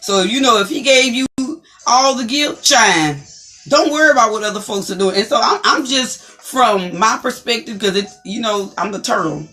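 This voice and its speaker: A woman with a deep, distinctive voice hosts an inspirational talk show. Her delivery is preachy and encouraging, and she repeats phrases like a speaker building to a point. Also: normal speed, bright, forceful tone.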